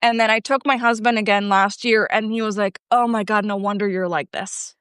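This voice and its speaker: A woman's voice: mid-range voice, steady tone, brisk, fairly bright tone, clear, resonant tone, no echo. A woman in her thirties, from Colombia and raised in Ecuador, chatting as a guest in a self-deprecating way with lots of filler words. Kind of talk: casual chat.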